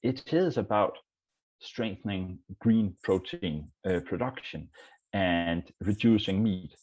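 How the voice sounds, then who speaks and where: A man's voice: medium pitch, a little mellow, husky, low-quality recording. A man from Denmark, a food policy professor, speaking earnestly on a panel. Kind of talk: panel talk.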